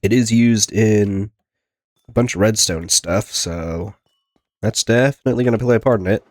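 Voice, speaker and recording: Low, stuffy-nosed man casually commentating. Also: normal speed, no echo. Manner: rambling, talks to himself and game characters. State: getting over a sickness, plugged nose. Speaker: host of a gaming video.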